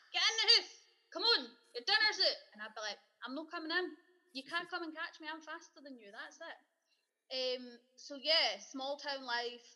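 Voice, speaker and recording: Bright, playful young Scottish woman. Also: sits high, in a large hall. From Scotland. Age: 20s. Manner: laughs often, playful teasing. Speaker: podcast guest. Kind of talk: casual chat.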